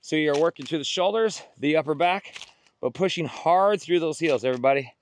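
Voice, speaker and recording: A man's voice: fairly high pitch, brisk, a little hiss or hum. A middle-aged man coaching a workout outdoors, cueing form, counting reps and cheering people on. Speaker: fitness instructor. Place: outdoors on a beach.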